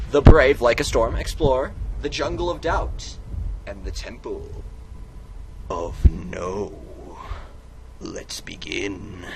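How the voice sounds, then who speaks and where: Male amateur narrator, mock-dramatic storyteller who keeps cutting in on himself, noisy home recording. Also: mid-range voice. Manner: theatrical, comically self-interrupting. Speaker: reader and player of a text game. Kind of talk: mock-dramatic storybook reading with casual asides.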